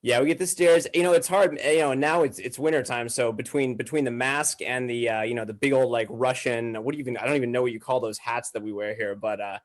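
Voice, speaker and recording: A man with a medium-pitched voice talks as a guest on a comedy podcast. He chats casually and jokes in a mock-serious way. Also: fairly even intonation, fast, few pauses.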